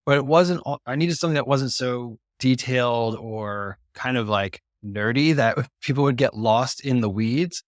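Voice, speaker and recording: A man explains things in a relaxed, informal way as a podcast guest. His pitch is average for a man. The recording is dry and close, free of echo. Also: normal speed, hardly any pauses. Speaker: guest presenter of a tool tip. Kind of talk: casual explanatory talk.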